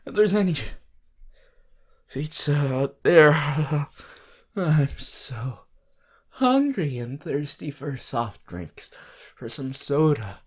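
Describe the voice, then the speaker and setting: A voice actor playing a possessive, unhinged yandere lover in an ASMR roleplay, slow, intimate and breathy, swinging between tender and manic. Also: medium pitch, very animated, heavily acted. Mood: playful, needy. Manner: slow, drawn-out, intimate, swelling from whispers to loud outbursts.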